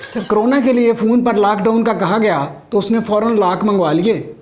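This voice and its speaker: A man's voice: high voice, steady tone, a little fast, noisy background. A middle-aged man with a Pakistani accent delivering a scripted satirical comedy monologue as a stand-up comedian. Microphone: lapel mic.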